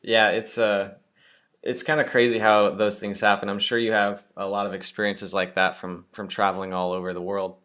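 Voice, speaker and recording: Lower-voiced upbeat male podcast host. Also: flat delivery, moderate pace, fairly bright tone. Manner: upbeat, chatty storyteller. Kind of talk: casual podcast interview.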